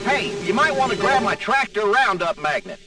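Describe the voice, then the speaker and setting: An actor playing a folksy, excitable old farmer in an animated video game. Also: male voice, high-pitched, moderate pace. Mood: grateful, chatty. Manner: folksy, exclamatory. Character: folksy, excitable old farmer fretting over his tractors. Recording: distant voice under loud game music. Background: loud music, vehicle sounds.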